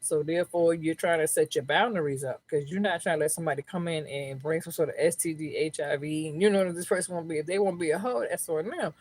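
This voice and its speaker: A woman giving a live tarot reading in casual, slangy, profane talk. She keeps checking in with her listeners and sometimes drops her voice low into a close microphone. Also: fast talker, hardly any pauses, darkish tone. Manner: slangy, profane, keeps asking "you know what I'm saying"; lowers her voice to keep it down. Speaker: tarot reader. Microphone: own microphone, close up.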